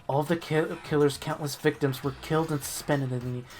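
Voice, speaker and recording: Low female voice, expressive amateur game narration, stumbles over words, music in background. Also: fairly quick. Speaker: reader of the protagonist's lines in a group playthrough. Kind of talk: amateur dramatic read-aloud of a visual novel game. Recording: music playing behind the voice.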